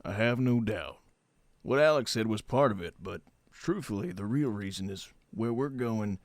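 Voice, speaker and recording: A man playing a calm, matter-of-fact medic in an audio drama, with measured, formal phrasing. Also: lowish voice, no room sound. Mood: firm, apologetic.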